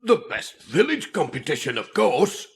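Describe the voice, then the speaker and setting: A man voicing a friendly, eager village gardener, brisk and helpful, in a children's audiobook. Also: fairly high pitch, wildly swooping pitch, creaky, voice pushed up, heavily acted. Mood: keen.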